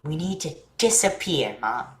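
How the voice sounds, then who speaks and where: A woman's voice: sits low, big pitch swings, unhurried, echoey room. A woman playing an earnest, eager and frank young boy in a staged play reading. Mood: frank, teasing. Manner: earnest, blunt, childlike.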